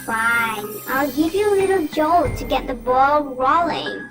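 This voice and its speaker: Woman voicing a high, drawling, taunting goblin. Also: a little slow. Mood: amused menace. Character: small male goblin-like dokebi, mocking, sinister game master. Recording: slightly distant, loud music behind.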